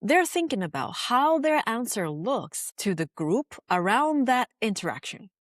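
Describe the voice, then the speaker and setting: A woman with a Japanese accent, a native Japanese speaker, presenting a scripted language lesson as host and teacher with clear, instructional phrasing. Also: fairly high pitch, very animated, unhurried, no echo, studio quality.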